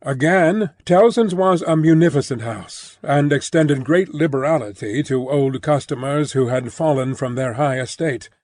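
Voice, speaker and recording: A man reading a classic novel as an audiobook narrator, with measured, careful phrasing. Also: on the high side, darkish tone, no echo. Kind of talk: scripted literary narration.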